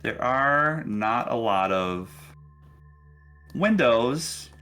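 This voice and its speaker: A man narrating a tabletop game casually as game master, halting and thinking aloud as he goes. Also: medium pitch, expressive, bright, forceful tone, soft background music.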